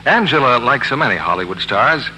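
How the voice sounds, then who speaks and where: A man delivering a scripted 1940s radio advertising pitch, polished and salesmanlike. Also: animated, brisk, sharp tone. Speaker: commercial announcer. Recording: noisy, humming 1940s radio broadcast.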